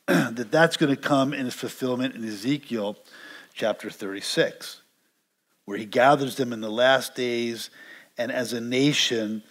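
A middle-aged American man, a pastor teaching verse by verse in a conversational, emphatic way, repeating words for emphasis. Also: medium pitch. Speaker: pastor teaching a Bible study. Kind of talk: expository sermon, verse-by-verse Bible teaching. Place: indoors, church stage with stone wall.

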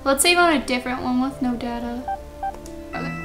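A woman's voice: high voice, a little fast, over music, low-quality recording. A young adult woman chatting casually as a gaming video host, reacting to the game as it plays. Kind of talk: casual gameplay chat.

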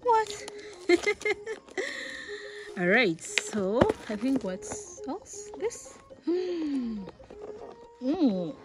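A young woman from Uganda, a vlogger, unboxing outdoors in a village yard, talking with giddy excitement, laughing often and exclaiming. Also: high-pitched, drawn-out words, pauses often, over loud music. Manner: giggly, breathless with excitement, frequent laughs and exclamations. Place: outdoors in a rural village yard, seated on a mat.

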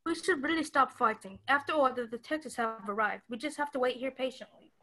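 Woman as placating female suspect, radio mystery play, mild manner, high pitch. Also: brisk, bright, forceful tone, rough recording.

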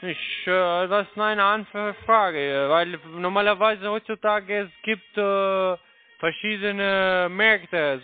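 Halting foreign-accented man on tinny phone. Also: high-pitched. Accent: foreign, non-native German. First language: not German. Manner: halting, polite. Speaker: telephone sales caller. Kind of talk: phone sales pitch. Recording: tinny, narrow phone line.

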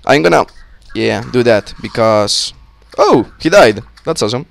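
A young man with a slightly sick voice, giving casual, excitable commentary on a co-op game with friends on a close mic. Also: mid-range voice, unhurried, vocal fry. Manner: excitable, swearing, joking with friends. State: sick, voice a little off. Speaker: gameplay commentator. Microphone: close-up desk mic.

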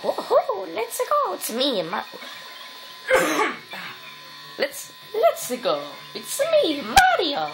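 A woman doing a cheerful plumber hero, high chipmunk squeak, loud whoops. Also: wildly swooping pitch, drawn-out words, gravelly, pauses often, heavy background noise, heavily acted. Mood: gleeful.

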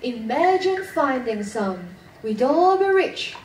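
A woman's voice: sits high, sing-song, takes it slowly, a little mellow, full character voice. A teenage girl acting a curious, matter-of-fact schoolgirl explorer in a radio drama. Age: teens. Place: stage of a studio theatre. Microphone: far camera. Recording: echoey theatre, distant camera, faint noise.

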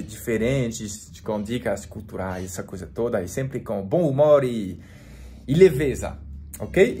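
Man, forties, French accent, native French speaker, relaxed and chatty, frequent restarts. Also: medium pitch. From France. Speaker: French teacher and vlogger. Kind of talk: casual talk to camera.

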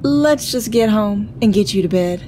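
A woman's voice: mid-range voice, lively intonation, moderate pace, mellow, slight background noise, natural voice. A woman acting an exasperated, fearless, teasing partner in an audio drama, with a no-nonsense, mocking way of talking.

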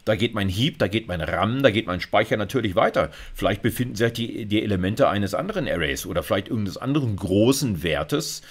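A man's voice: on the low side, lively intonation, brisk, hardly any pauses. A middle-aged man giving an informal, conversational lecture, often punctuating explanations with little check-in words. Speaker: university computer science lecturer. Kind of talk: informal video lecture with slides.

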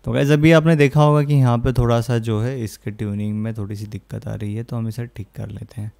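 A young man explaining audio software in a casual tutorial style, with frequent filler phrases, speaking close to a studio microphone. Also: mid-range voice, fairly even intonation, a little fast, vocal fry. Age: young adult. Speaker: music production tutorial host. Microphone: close studio condenser microphone.

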